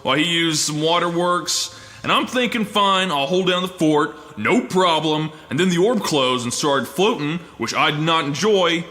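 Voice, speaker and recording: A man's voice: a little high, normal speed, sharp tone, long reverb tail. A man playing a goofy, boisterous lumberjack of thirty who rambles in a comic audio drama. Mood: cheerful, boisterous. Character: goofy cheerful big lumberjack man aged thirty, easily scared.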